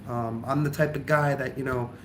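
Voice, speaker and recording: A young man talks in a loose, rambling vlog style, full of ums and likes, his voice a little nasal from a cold. His pitch sits in an ordinary middle range. A faint hiss of background noise runs under the recording. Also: fairly even intonation, moderate pace. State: sick, slightly nasal from a cold. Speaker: travel vlogger. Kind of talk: casual vlog chat.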